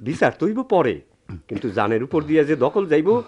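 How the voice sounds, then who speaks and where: A man's voice: on the high side, very animated, a little fast, darkish tone, breathy edge, dull audio. A man playing a loyal, worried villager in a radio drama, pleading urgently in a rural Bangladeshi dialect. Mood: alarmed, pleading. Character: loyal, alarmed village man, earnest helper.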